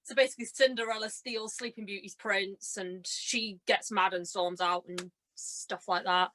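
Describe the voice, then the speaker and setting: A young woman with a bright, forward voice at an ordinary female pitch. She chats casually and tells anecdotes in a giggly, self-deprecating way, slipping into little bits of acted-out dialogue as she goes. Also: normal speed, slight background noise. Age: young adult. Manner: chatty, animated storyteller who acts out reported dialogue. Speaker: podcast guest, stage and radio drama performer. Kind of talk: casual chat, telling anecdotes.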